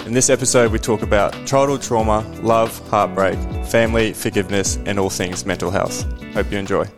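A man's voice: fairly low pitch, steady tone, a little fast, music in the background. A man hosting a podcast interview, earnest and encouraging, with short affirming replies. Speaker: podcast host.

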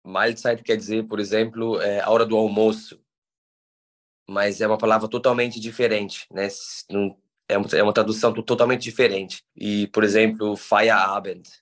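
Guest, male, 30s, São Paulo accent, lowish voice, halting and patient. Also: flat delivery. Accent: São Paulo Brazilian Portuguese. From Brazil. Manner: hesitant, restarts words, explains patiently. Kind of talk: conversational interview.

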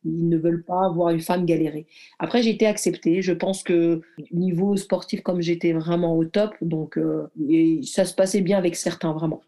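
Guest, woman in her thirties, from Morocco; relaxed, halting storyteller with a dark, soft tone. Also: on the low side. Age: 30s. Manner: reflective, halting, lots of fillers and restarts. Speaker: guest athlete and former firefighter. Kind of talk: casual conversational storytelling.

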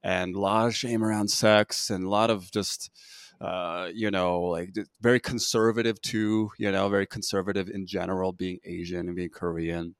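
A man's voice: sits low, level tone, moderate pace, no echo, clean studio sound. A man hosting an interview, talking in a loose, rambling way with many restarts and fillers. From United States. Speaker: podcast host. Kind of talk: casual interview chat.

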